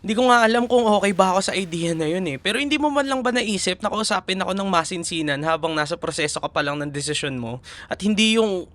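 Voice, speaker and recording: Male actor as young boyfriend, Filipino accent, animated swooping pitch, lightish register, tender, proud, audio roleplay. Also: fast talker. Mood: hurt, frustrated. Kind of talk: ASMR boyfriend roleplay drama.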